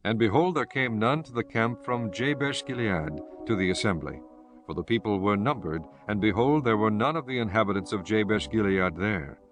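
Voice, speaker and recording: A man narrating scripture for an audiobook in a formal, measured storytelling manner. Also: on the low side, over music.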